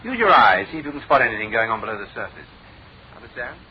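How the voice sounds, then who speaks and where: A muffled radio drama recording with crowd murmur. An actor plays a commanding British private detective, quick and animated, giving clipped orders with authority. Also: male voice, medium pitch, close to an everyday voice. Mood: brisk, commanding. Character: brisk, commanding male private detective boss.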